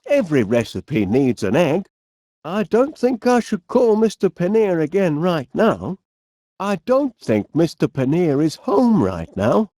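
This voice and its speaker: A man's voice: high voice, big pitch swings, a little slow, mellow, dry recording, clean studio sound, full character voice. A man playing a cheerful, bumbling middle-aged inventor in a comedy game, with a Northern English accent and a politely flustered, hesitant manner. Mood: cheerful, eager. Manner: hesitant, stammering politeness with quaint exclamations. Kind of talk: animated comedy game voice acting.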